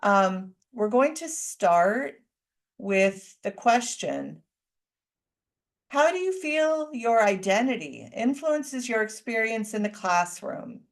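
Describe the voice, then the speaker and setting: A woman moderating a student panel over a video call, speaking calmly and slowly with frequent ums. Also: on the high side.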